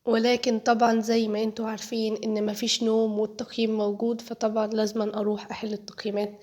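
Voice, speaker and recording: A teenage girl with an Egyptian Arabic accent rattles through her vlog voice-over at a breakneck clip. Her voice sits a little high and sounds stuffy from a cold. She keeps it chatty and jokey, talking right to her viewers. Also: monotone, quick pace, darkish tone. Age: teens. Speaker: vlogger, high school student.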